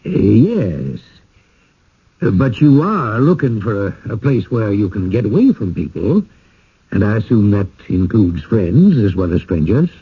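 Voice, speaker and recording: Radio drama role: genial, apologetic village man who stammers politely, lively husky voice, dulled vintage sound. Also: lowish voice, measured pace, exaggerated voice. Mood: hesitant, flustered. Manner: hesitant, apologetic, courteous, stammering. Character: fussy, genial widowed village man screening newcomers. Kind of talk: 1980s radio drama acting. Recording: muffled old radio broadcast.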